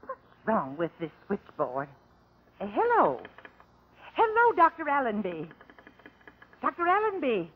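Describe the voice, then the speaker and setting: Female as harried hotel-desk operator, high swooping voice, fretful, vintage radio play. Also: wildly swooping pitch, measured pace, long pauses, slightly rough, full character voice. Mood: flustered. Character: flustered, brisk female switchboard operator. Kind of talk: old-time radio drama acting. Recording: tinny, narrow old radio recording.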